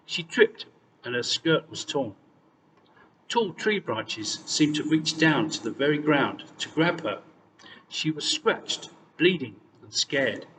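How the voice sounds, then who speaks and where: A man reading a fairy tale aloud as scripted, expressive storytelling. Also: on the high side. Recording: distant mic, long reverb, faint noise.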